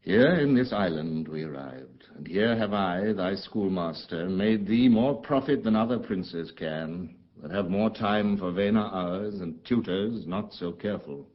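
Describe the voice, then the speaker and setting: Slow, commanding magician duke. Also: male voice, low voice. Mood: grave, intense. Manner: grave, measured, commanding declamation. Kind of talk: theatrical Shakespearean audio drama acting. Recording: muffled lo-fi audio.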